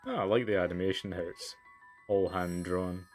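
A man's voice: deep voice, normal speed, soft background music. A man giving let's play commentary as he hosts a story game. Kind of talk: gameplay commentary mixed with reading aloud.

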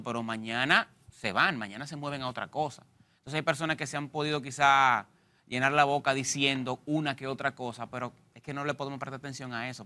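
Dominican guy, bright forceful voice, jokey comedian on a tv set. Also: medium pitch. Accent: Dominican Spanish. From Dominican Republic. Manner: gestures with his hands, lively and joking. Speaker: stand-up comedian guest. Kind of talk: animated interview talk. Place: TV studio set.

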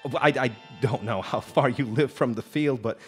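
An actor playing a nervous, evasive labor company representative in a live radio drama, stammering and officious. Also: male voice, mid-range voice, normal speed, husky, natural voice. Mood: earnest, uneasy. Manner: stammering, hesitant, apologetic and officious. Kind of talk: live old-time radio drama acting. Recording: live stage performance with background music. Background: music.

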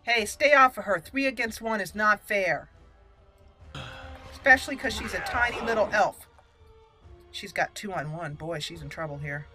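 A woman hosting a casual let's play, chatty and expressive as she talks to the game characters. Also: mid-range voice, normal speed, pauses often, rough recording. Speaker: gaming channel host. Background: game music.

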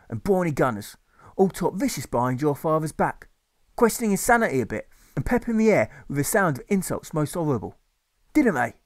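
Man doing a bragging drill sergeant, hearty yarn-spinner, bouncy lively voice. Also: fairly high pitch, no room sound. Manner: hearty, storytelling bluster with tag questions. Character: boastful old army drill sergeant spinning a war yarn.

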